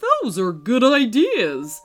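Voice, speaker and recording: A woman voicing a jolly, kind old male Santa who laughs a booming ho-ho. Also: huge pitch swings, takes it slowly, soft background music, exaggerated voice. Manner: booming ho-ho laughter, hearty and loud.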